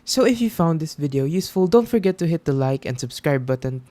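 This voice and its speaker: A man's voice: fairly high pitch, animated, fairly quick, mellow. A man hosting a tutorial, explaining steps in a casual, instructional way. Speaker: tutorial host. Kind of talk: casual software tutorial narration.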